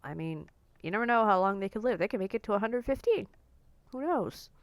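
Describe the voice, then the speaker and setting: A woman in her forties talking casually to camera in a blunt, outspoken way, her voice a little croaky and hoarse. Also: medium pitch, lively intonation, a little fast, no room sound. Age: 40s. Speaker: vlogger.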